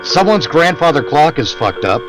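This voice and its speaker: A man's voice: on the high side, big pitch swings, moderate pace, poor audio. A man doing irreverent comic commentary in a sarcastic, crude manner. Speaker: commentator riffing over old radio shows. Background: old radio drama playing with music and effects.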